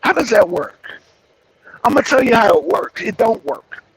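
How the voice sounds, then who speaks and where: Raspy high-voiced man streaming live. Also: sing-song, normal speed, a little hiss or hum, low-quality recording. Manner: rambling, repeats phrases, greets viewers by name. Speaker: streamer hosting a wrestling show. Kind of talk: casual live-stream talk to chat.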